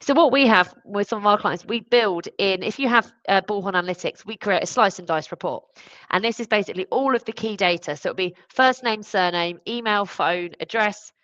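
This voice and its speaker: A woman's voice: mid-range voice, a little fast, fairly bright tone, dry recording, lo-fi sound. A woman explaining things in an emphatic, conversational way during a live business discussion, as a consultant would. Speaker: recruitment automation consultant. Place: online live stream.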